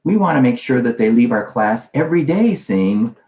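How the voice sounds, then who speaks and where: A man presenting a conference talk in a conversational way, posing questions and then answering them himself. His voice sits at a medium pitch. The recording carries a roomy echo. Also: sing-song, normal speed, warm tone. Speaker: conference speaker on language teaching.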